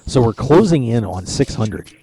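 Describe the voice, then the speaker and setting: A man's voice: mid-range voice, animated, moderate pace, dark tone, slight background noise. A man hosting a poker radio show, chatting casually and joking from a lounge at a poker tournament. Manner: chatty, joking, rambling with restarts. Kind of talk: casual live-stream chat.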